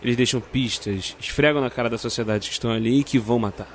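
An actor playing a composed, wry police detective in an audio drama. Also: male voice, mid-range voice, fast, a little hiss or hum, natural voice. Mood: grave, explanatory. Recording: music laid under the voice.

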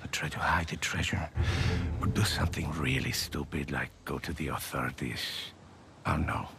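An actor playing a menacing middle-aged drug lord with a Latin American Spanish accent, speaking slowly and deliberately in a video game. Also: male voice, very deep voice, vocal fry, background noise. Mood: quiet threat. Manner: slow, deliberate, threatening. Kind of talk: cinematic video game acting.

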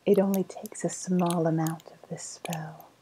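A woman playing a sly, cooing woodland witch with a faint menace, in a breathy, softly spoken ASMR roleplay whisper. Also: lowish voice, little pitch movement, a little hiss or hum, natural voice, low-quality recording. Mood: savouring, confiding. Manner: slow, savouring, coaxing delivery.

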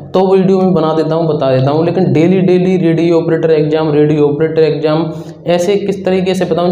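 A young man with a high, mellow voice coaches students informally and earnestly, going back over his points. The recording is echoey and a little muffled. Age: 20s. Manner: repetitive, earnest advising. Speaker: exam-preparation coach and video host. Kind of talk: informal explainer talk to students. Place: small indoor room with whiteboard. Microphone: clip-on lapel mic. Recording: echoey room, dull muffled sound.

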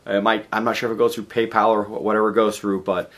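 A man in his forties, hoarse from a cold, giving a rambling, casual video update from his garage workshop. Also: lowish voice, little pitch movement, fast, fairly bright tone. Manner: rambling, chatty, lots of fillers and restarts. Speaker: DJ vlogger. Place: home garage workshop, indoors.

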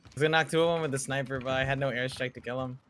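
A man's voice: medium pitch, steady tone, very fast, a crowd in the background. A man giving casual callouts to teammates in a shooter over voice chat. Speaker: gamer, teammate. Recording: voice chat with game din behind.

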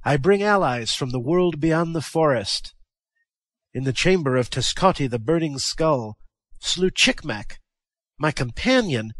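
A man voicing a fierce, wounded warrior, loud and fervent in a declamatory way, raised in pitch above his narrating voice. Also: animated, a little slow, no room sound. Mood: fierce, exultant. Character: fierce wounded male warrior, exultant and vengeful.

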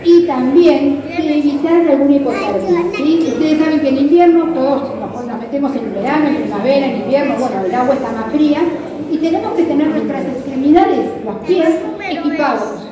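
A middle-aged Argentine woman with a high voice teaches a live audience through a PA. She talks conversationally and keeps tagging her points with little questions. The hall is very echoey and she sounds far from the recorder, with people murmuring around her. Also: normal speed, crowd noise. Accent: Argentine Rioplatense. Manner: conversational, checking in with listeners using question tags. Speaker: kayak fishing safety instructor. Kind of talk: live instructional talk to an audience. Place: large hard-floored club hall with seated audience.

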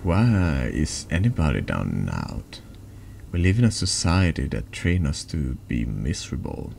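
A man voicing a troubled, cynical, secretive man in a horror game confessional, measured, brooding and polite. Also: a bass voice, sing-song, vocal fry, a little mellow, a little hiss or hum, exaggerated voice. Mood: bitter, guarded.

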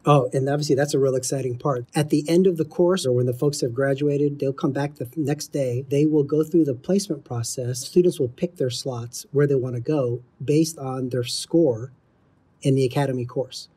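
Middle aged guy, soft dark tone bit husky, teacher type explaining stuff methodically. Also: medium pitch, steady tone, moderate pace. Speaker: air traffic control instructor, interview guest. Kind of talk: explanatory interview talk.

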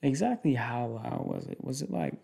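A young man in a casual, improvised boyfriend roleplay, speaking informally in slangy, halting, rambling phrases. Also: mid-range voice, animated, creaky, dark tone. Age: young adult. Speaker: ASMR roleplay performer playing a protective boyfriend. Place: small indoor room at home.

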